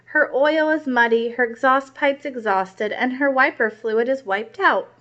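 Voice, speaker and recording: A woman's voice: high-pitched, unhurried, a bit bright, pitched above the performer's own voice. A woman voicing a caring mother vehicle in a read-aloud storybook, fussing about a sick granny. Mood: concerned. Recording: muffled lo-fi recording.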